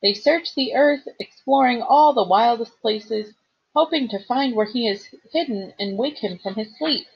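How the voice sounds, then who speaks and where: An earnest young male satyr, put on by a woman reading aloud, speaks in a plaintive, emphatic way with a slightly husky edge. The audiobook recording sounds dull and lo-fi. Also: a little high, measured pace, voice pushed up, heavily acted.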